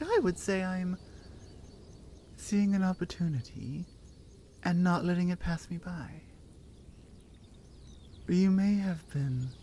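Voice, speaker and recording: A woman's voice: sits low, dark tone, slight background noise, exaggerated voice. A woman acting a bold, teasing Nordic warrior in an intimate ASMR roleplay, with a Nordic accent and a playful, confident manner.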